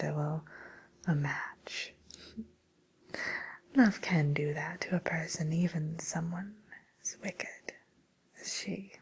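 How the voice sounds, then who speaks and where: Woman acting as polite villain dating agency lady, whispery asmr, super breathy n slow, kinda hesitant. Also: fairly low pitch, full character voice. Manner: hesitant, gently diplomatic, trailing pauses. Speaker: ASMR role-play performer. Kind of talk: soft whispered ASMR role play.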